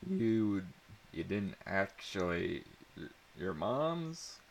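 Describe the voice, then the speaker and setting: A man's voice: low-pitched, very animated, drawn-out words, creaky, a little hiss or hum, exaggerated voice. A man voicing a playful, easily amused teenage schoolgirl in a dramatic story reading. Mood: amused, teasing.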